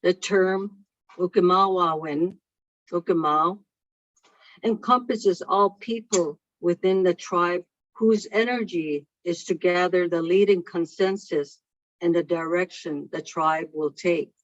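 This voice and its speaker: A keynote speaker for whom English is a second language, reading a prepared speech in a slow, deliberate way over a video call. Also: medium pitch, no room sound. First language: not English. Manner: slow, measured and deliberate delivery. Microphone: video call microphone. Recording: dull lo-fi online call audio.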